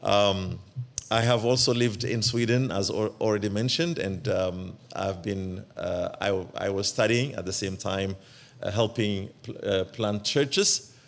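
A man from Ethiopia, preaching as a guest in church, halting and full of fillers and restarts. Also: on the low side, steady tone, creaky. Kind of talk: church sermon.

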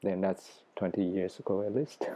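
Casting note: Taiwanese-accented male researcher, forties, low mellow voice, thoughtful and plain. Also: little pitch movement, normal speed. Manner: thoughtful, plain-spoken, with small grammar slips. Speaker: guest researcher. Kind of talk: interview answers.

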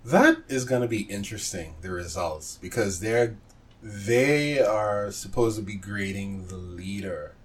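Male podcast co-host, lower-pitched, playful banter, casual anime talk. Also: animated, unhurried, a little hiss or hum. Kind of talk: casual chat about anime.

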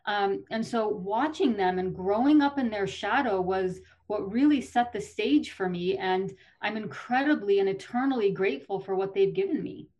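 Woman, 30s, from Colorado. Earnest, articulate guest, mid-pitched. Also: normal speed, hardly any pauses, darkish tone. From Colorado, USA. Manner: earnest, articulate, with frequent fillers and restarts. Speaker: state legislator, guest. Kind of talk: podcast interview answers.

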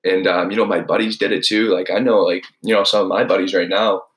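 Young guy, deep low voice, rambly podcast storytelling, tons of likes and you knows. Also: fairly even intonation, fast, a bit bright, rough recording. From New England. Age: young adult. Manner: rambling, heavy use of filler words. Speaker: podcast host. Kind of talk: casual solo storytelling.

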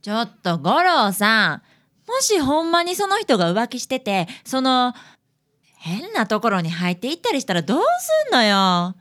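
A woman's voice: on the high side, wildly swooping pitch, moderate pace, a bit bright. A woman with a Kansai accent playing a sensible young detective's assistant in a community radio drama, talking in a wry, teasing way.

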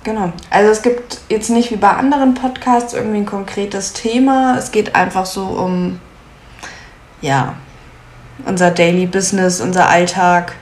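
A woman in her twenties from Munich, co-hosting a podcast in casual chat, chatty and quick to laugh, with frequent filler sounds. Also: mid-range voice, steady tone, darkish tone. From Munich, Germany. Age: 20s. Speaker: podcast co-host. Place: at home in Munich. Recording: echoey room with faint noise.

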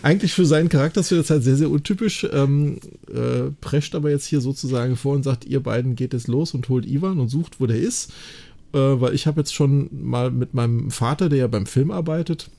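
A man with a mid-pitched, mellow voice and a gravelly creak in it. He talks as a podcast co-host in a casual recap, chatty and enthusiastic, often checking for agreement with short tag questions. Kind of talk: casual episode recap and discussion.

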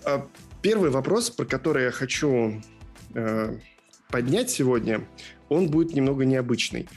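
A man with a husky voice hosts a casual football podcast. He is chatty and playful, teasing his co-host as he sets up the questions. Faint music plays under the talk. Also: mid-range voice, animated, moderate pace.

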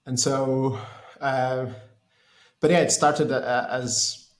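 A man's voice: mid-range voice, fairly even intonation, slow, echoey room. A man from Portugal in his thirties, a race engineer answering interview questions in a hesitant way with many restarts. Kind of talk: conversational interview.

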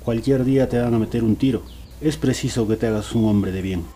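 A man's voice: medium pitch, a little fast, mellow, slight background noise, natural voice. A man playing a stern, worried schoolmaster in a radio drama, earnest and reproving. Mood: reproachful.

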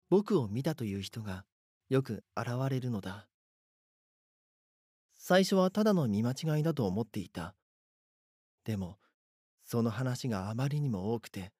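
Anxious schoolboy, acted by a man, expressive and dry. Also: mid-range voice, husky, no room sound. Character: anxious schoolboy narrating an eerie mystery. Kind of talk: first-person audio drama narration.

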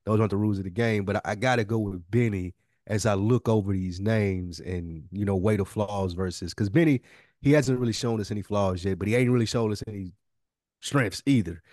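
A man's voice: on the low side, fairly quick, darkish tone, dry recording, clean studio sound. A man co-hosting a podcast, talking informally as he thinks aloud. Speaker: podcast co-host. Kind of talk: casual chat.

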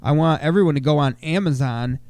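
A young man co-hosting a casual podcast, stuffy from a cold, talking in loud, crude, slangy banter. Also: fairly high pitch, a little fast, no room sound. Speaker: podcast co-host.

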